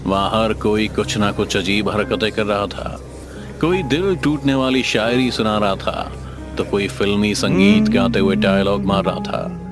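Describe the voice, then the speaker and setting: Man, low voice, dramatic horror narration, scripted storytelling, prominent background music. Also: fairly even intonation, measured pace. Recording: music under the voice.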